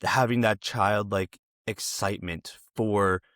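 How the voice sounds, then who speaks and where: A man's voice: fairly low pitch, steady tone, slow pace, fairly bright tone, dry recording, studio quality. A man hosting a podcast, asking questions in a conversational interview style.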